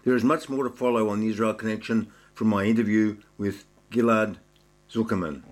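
Male show host, reads a formal intro briskly, plain mid-pitched voice. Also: steady tone, slight background noise. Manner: brisk, formal read-through. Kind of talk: scripted introduction read aloud.

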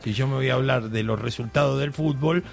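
A man's voice: mid-range voice, brisk, gravelly, soft background music. A man, co-hosting with playful, chatty remarks. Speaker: co-host. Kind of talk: casual talk-show chat.

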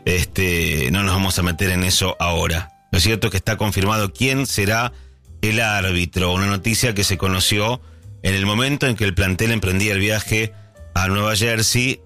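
A man's voice: low-pitched, fairly even intonation, moderate pace, sharp tone, faint music behind. A middle-aged man with a Rioplatense Spanish accent hosting a casual early-morning radio show, chatting and thinking aloud with many fillers and restarts. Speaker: radio host.

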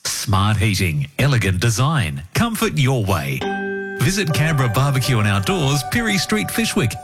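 A man's voice: mid-range voice, sing-song, moderate pace. A man reading a radio ad with a lilting sell. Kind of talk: radio ad read. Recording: ad over music.

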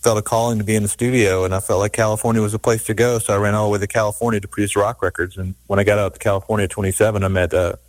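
A middle-aged producer from Georgia talks quickly and loosely as a guest, circling back with restarts and fillers. His voice sits low, and the sound is dry and close. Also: level tone, dry recording, low-quality recording. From Savannah, Georgia, US. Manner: rambling, many restarts and fillers. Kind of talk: casual interview talk.

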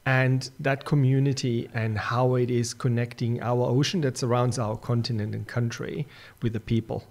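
A man with a German accent speaks as a guest on a radio interview. His voice sits at a middle pitch, and he talks with earnest enthusiasm about his volunteer club, stumbling now and then into restarts. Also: fairly even intonation, moderate pace. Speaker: surf club secretary and media manager.

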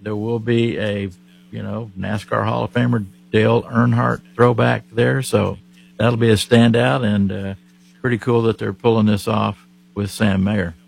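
A man from Oklahoma talks racing news in a folksy, unhurried way. His voice sits low with a gravelly edge and a husky grain. Frequent fillers keep it casual. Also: level tone. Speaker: racing show host. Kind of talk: casual motorsport chat.

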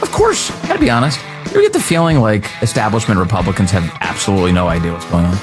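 A man's voice: fairly low pitch, big pitch swings, racing, music in the background. A man doing opinionated commentary in a sarcastic style, firing off rhetorical questions. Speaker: podcast host in a promo.

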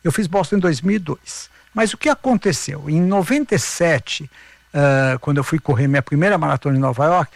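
High, gravelly Brazilian man telling stories. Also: fairly quick, no echo. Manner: animated, acts out quoted voices. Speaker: marathon runner guest. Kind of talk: casual storytelling interview.

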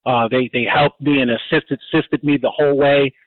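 A man's voice: medium pitch, fairly even intonation, normal speed, dry recording. Male radio host, 50s, rambling casual delivery, tinny dry phone-line sound. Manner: rambling, frequent fillers and restarts. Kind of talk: casual community radio talk. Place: Baltimore, Maryland. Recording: dry, phone-like narrow band.

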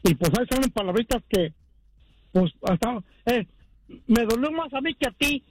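Male caller, very high voice, folksy and apologetic, heard over a noisy phone line. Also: expressive, moderate pace.

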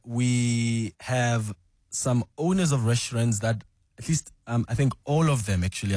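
A man hosting a morning radio talk show, relaxed and chatty, with frequent ums. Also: on the low side, no echo, studio quality. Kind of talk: radio interview. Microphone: studio microphone.

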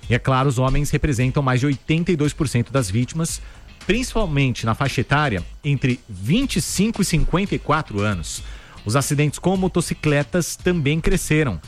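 A man co-hosting a radio news show, reading headlines briskly with casual asides. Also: medium pitch. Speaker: news co-host. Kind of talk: radio news bulletin chat. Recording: faint music bed behind.

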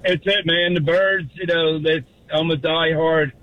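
A man's voice: fairly high pitch, steady tone, measured pace. Man in his 50s, from Philadelphia, radio caller, blunt, tinny phone line. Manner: blunt, chatty. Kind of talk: casual call-in chat.

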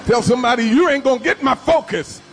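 A man's voice: extremely high pitch, fast talker. A man preaching a fiery sermon, exhorting his congregation with commands and repeated refrains. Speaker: pastor. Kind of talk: shouted call-and-response sermon.

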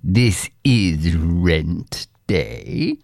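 A man acts out a haughty magistrate, the villain of a folk tale. His voice drops low and drags out every threat with pompous menace. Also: sing-song, vocal fry, a little mellow, no room sound, studio quality, full character voice. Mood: stern, menacing. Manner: pompous, drawn-out threatening delivery. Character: haughty, menacing male land agent and magistrate.

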